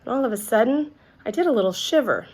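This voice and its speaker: A woman reading a children's story aloud expressively, pausing to chat and ask her listeners questions. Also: fairly high pitch. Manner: animated, asks listeners questions. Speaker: read-aloud teacher.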